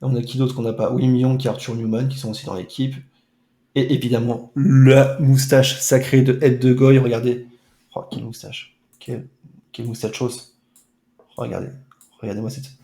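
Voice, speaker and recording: A man's voice: mid-range voice, little pitch movement, moderate pace, mellow, roomy sound. A man streaming a retro football game, chatting casually with playful, mock-dramatic asides. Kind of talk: casual let's-play chat.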